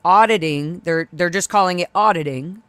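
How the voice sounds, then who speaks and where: A woman's voice: on the low side, normal speed, no room sound. A woman co-hosting a livestream, chatting casually in a playful, easily amused way. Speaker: livestream co-host. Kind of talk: casual chat.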